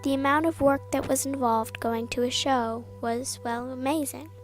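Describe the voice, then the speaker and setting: A woman's voice: high voice, steady tone, normal speed. A woman narrating a written tribute in a sincere, plain reading style. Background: faint background music.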